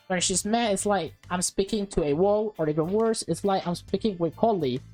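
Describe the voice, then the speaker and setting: A woman's voice: mid-range voice, measured pace, darkish tone, faint music behind. A woman reading visual novel text aloud as a let's player, with casual commentary mixed in.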